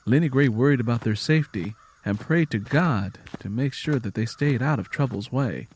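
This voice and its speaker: A man's voice: mid-range voice, normal speed, a little mellow, slight background noise. A man reading scripted story narration in a solemn, dramatic manner.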